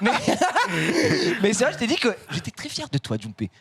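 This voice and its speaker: A man's voice: high voice, huge pitch swings, normal speed, a bit bright, breathy edge, no echo. A man hosting a live stream show, teasing his co-hosts and laughing often. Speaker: show host. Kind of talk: playful chat on a live stream.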